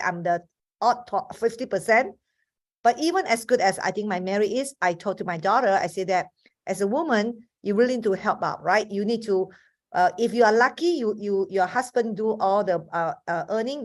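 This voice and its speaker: A woman's voice: medium pitch, a little mellow. Malaysian woman in her fifties, earnest. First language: Chinese. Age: 50s. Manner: earnest, restarts often. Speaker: webinar speaker. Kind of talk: motivational talk. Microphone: video call.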